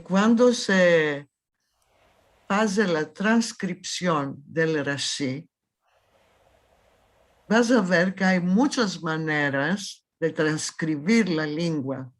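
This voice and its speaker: A woman in her seventies from Thessaloniki, speaking in an interview with a Salonica Judeo-Spanish accent, emphatic and insistent, often restarting her phrases. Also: low-pitched. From Thessaloniki, Greece. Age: 70s. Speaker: guest historian. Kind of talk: conversational interview.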